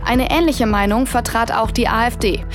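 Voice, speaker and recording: A woman's voice: fairly high pitch, normal speed, over music, natural voice. An actress playing a matter-of-fact female news reader delivering a scripted bulletin in a satirical radio play. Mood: neutral.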